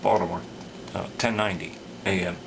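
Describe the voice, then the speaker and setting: A man giving unscripted workbench commentary as a repair hobbyist, hesitant and halting as he thinks aloud. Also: low-pitched, fairly even intonation, fairly bright tone, low-quality recording. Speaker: radio repair hobbyist demonstrating work. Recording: clear background noise.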